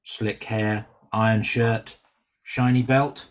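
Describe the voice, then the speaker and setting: A man playing a brash, quick-witted stand-up comedian with sardonic, teasing banter in a script reading. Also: lowish voice, little pitch movement. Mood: cocky teasing.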